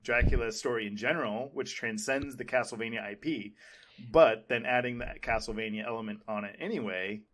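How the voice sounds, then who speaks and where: A man's voice: mid-range voice, fairly quick, noisy background. Male co-host, mid-range voice, loose rambling fan talk, enthusiastic and conversational. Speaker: podcast co-host. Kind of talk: casual chat, pop culture discussion.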